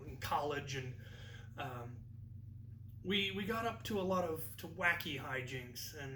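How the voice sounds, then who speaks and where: An American man in his thirties telling a casual anecdote as a video host, in an animated manner. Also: on the high side, a little hiss or hum. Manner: animated, acts out quoted lines. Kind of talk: casual anecdotal storytelling to camera. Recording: echoey room, distant microphone.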